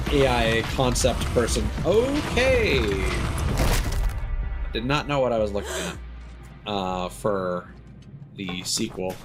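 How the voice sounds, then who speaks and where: A man with a fairly low voice talks at a very unhurried pace as he streams a video game. His commentary is casual and sweary, with loud game music playing behind him. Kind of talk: live gameplay commentary, casual chat. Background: loud video game music and sounds.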